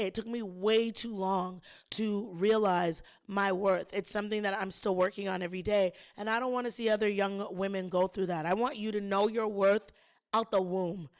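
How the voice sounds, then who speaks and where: A woman hosting a podcast, a little hoarse, chatting and telling a story straight to her listeners with plenty of emphasis. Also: mid-range voice. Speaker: podcast host. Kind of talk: casual solo storytelling.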